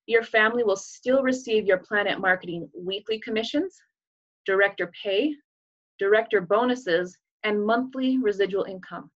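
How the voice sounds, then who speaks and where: A woman's voice: on the high side, dull audio. A woman giving an earnest, upbeat recruiting presentation over a video call, with casual fillers. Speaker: business presenter.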